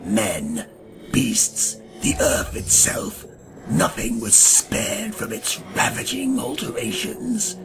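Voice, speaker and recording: A man playing a grim, ancient vampire hunter who narrates a gothic audio drama in a solemn, ornate and gravelly manner. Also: on the low side, wildly swooping pitch, full character voice. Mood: ominous, solemn. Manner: solemn, ornate, deliberate delivery. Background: dramatic music.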